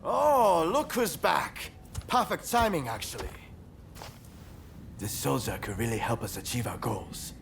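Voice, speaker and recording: An actor playing a brash, eager, teasing rebel warrior in a video game, with an expressive delivery. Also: male voice, medium pitch, unhurried, vocal fry, a little hiss or hum. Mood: eager, pleased. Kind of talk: dramatic video game cutscene acting.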